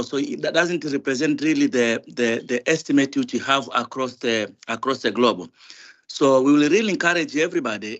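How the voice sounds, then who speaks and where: A man's voice: mid-range voice, fairly bright tone, lo-fi sound. A man working as a government diaspora director, speaking earnestly with hesitations and repeated points during an online session. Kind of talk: remarks on a video call.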